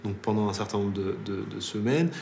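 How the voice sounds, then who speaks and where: Analytical man, low voice, roomy echo; steady interview commentator. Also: vocal fry. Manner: measured, repeats and rephrases while building points. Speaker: commentator on Islamophobia. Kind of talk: interview answer. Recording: roomy echo with faint noise.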